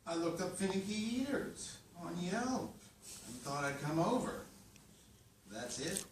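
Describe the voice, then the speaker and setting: Man reading as a critical chef mentor, blunt and dry, lively intonation, echoey room, distant mic. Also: sits high, measured pace, a little hiss or hum. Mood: pointed. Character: critical male culinary teacher, blunt and probing. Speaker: actor in a script reading. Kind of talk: script table read.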